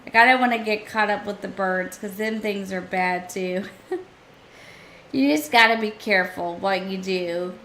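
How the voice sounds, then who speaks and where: A woman streaming a survival game, chatting casually and thinking aloud to her viewers, her voice a little hoarse. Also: mid-range voice, moderate pace, bright, forceful tone, a little hiss or hum. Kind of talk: casual gameplay commentary.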